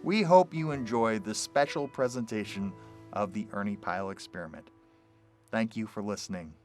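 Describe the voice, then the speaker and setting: Male program announcer, lowish voice, scripted radio introduction over faint guitar music. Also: moderate pace, darkish tone.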